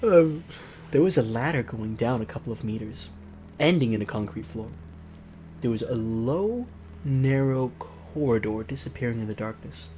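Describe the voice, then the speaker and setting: A man's voice: medium pitch, lively intonation, moderate pace, dark tone. Theatrical man reading a game aloud, noisy recording. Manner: theatrical reading broken by exclamations and mocking asides. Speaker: host reading a visual novel aloud. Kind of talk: narrated game let's play with joking asides.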